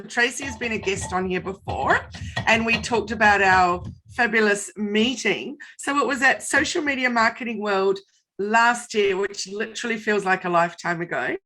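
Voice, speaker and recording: A woman hosting a casual live interview over a video call, bubbly and enthusiastic, a little croaky this morning. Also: fairly high pitch, moderate pace, bright, forceful tone, poor audio. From Australia. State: slightly croaky voice. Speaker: host.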